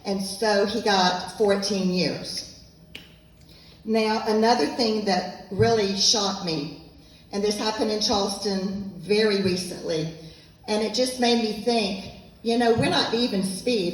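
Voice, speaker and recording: A woman's voice: medium pitch, steady tone, measured pace. A woman, giving an earnest, emphatic talk to an audience as an author at a local event. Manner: earnest, emphatic, repeats points. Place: event room, echoey. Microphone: handheld microphone, at times off mic. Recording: echoey room from far off, faint noise.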